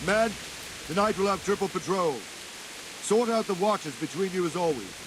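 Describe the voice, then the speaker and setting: Commanding castle captain, higher-pitched voice. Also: male voice, expressive, moderate pace, over music, low-quality recording. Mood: stern, commanding. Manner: commanding, blunt. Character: stern castle guard captain, gruff commander of men. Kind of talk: medieval video game acting. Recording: hissy, rain and thunder behind.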